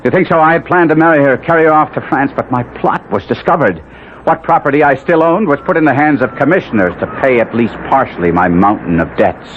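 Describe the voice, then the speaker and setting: A man's voice: medium pitch, animated, fast, lightly acted. An actor playing an anguished, ruined young nobleman, speaking with earnest melodrama and formal phrasing in a radio drama. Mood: bitter. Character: anguished young nobleman, ruined, proud wastrel recounting his fall. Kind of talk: 1970s radio drama acting and narration. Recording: muffled, noisy old radio broadcast recording.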